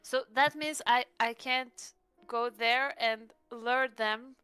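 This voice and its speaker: A woman's voice: a little high, fairly even intonation, takes it slowly, pushed and bright, smooth, no echo. A woman playing a tabletop roleplaying game, asking questions and floating plans in a tentative, casual way. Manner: tentative, inquisitive.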